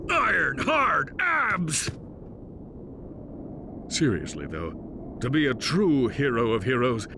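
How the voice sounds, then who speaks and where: A vain space-captain hero from a video game, pitched high and forceful. He speaks in slow, bombastic, swooping phrases full of self-regard, over faint background noise. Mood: boastful bravado. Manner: pompous, bombastic, self-admiring. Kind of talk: cartoonish video game acting.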